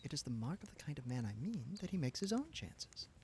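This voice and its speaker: Man voicing an earnest young woman, idealistic, lofty, lightly reproving, lively rise and fall. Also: medium pitch, brisk, slight background noise, pitched above the performer's own voice. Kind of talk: audiobook character reading.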